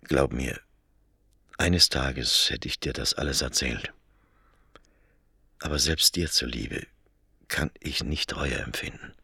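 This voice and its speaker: An aggrieved, desperate man with a killing on his conscience in a radio play. His voice is extremely low and very husky, with a forceful edge. He is insistent and sombre by turns. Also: slow, pauses often, dry recording, studio quality, heavily acted. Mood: sombre confession. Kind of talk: German radio play acting.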